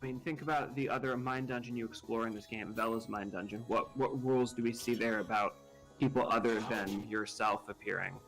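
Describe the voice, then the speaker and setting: A man's voice: medium pitch, fairly even intonation, a little fast, fairly bright tone, soft background music. Thoughtful man musing on a game stream. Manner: thoughtful, hesitant with fillers and restarts. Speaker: gamer on a group stream. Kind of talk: casual discussion.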